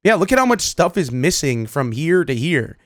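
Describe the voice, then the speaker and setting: A man hosting a reaction video, talking casually to viewers with excited, repeated exclamations. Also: on the high side, moderate pace, no room sound, clean studio sound. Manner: casual, repetitive exclamations, addresses viewers familiarly. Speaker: video host reacting to game clips.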